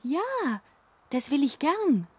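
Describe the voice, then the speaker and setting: Slow-spoken, eager young wanderer. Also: female voice, high-pitched, sing-song, no room sound, full character voice. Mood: eager, earnest. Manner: earnest, simple, gentle. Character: eager, polite young wanderer in fairy tale. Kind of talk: fairy-tale radio play acting.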